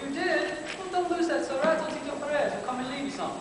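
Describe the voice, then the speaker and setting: A quick-spoken, protective family father, played by a young man on an amateur stage. He is affectionate, heard from a distance in an echoing hall with noise behind. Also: very high voice. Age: young adult. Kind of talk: amateur school stage acting. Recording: echoey hall from far off, noisy.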